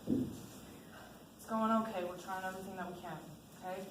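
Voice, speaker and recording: A woman's voice: mid-range voice, steady tone, moderate pace, natural voice. A young woman in a school play acting a reassuring, businesslike army captain. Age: young adult. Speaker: student actor in a one-act school play. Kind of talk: amateur stage acting. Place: indoor stage, recorded from the audience. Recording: distant echoey stage, clearly noisy.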